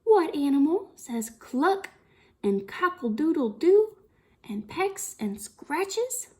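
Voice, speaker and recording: A woman reading a picture book aloud to young children as a storytime teacher, in an expressive sing-song manner with lots of questions. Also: high-pitched. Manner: sing-song, slow and deliberate, with exaggerated expression and frequent questions.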